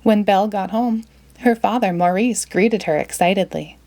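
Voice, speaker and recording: A woman's voice: medium pitch, normal speed, darkish tone. A woman with a mid-range voice narrates a children's storybook. She tells it expressively, as a read-aloud storyteller.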